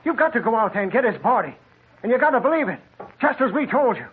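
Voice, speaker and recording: A frightened traveler who talks with urgency and pleads to be believed. His voice is high and husky. It comes through a thin, tinny old radio recording. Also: sing-song, fast talker, exaggerated voice. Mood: urgent. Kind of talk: 1940s radio acting.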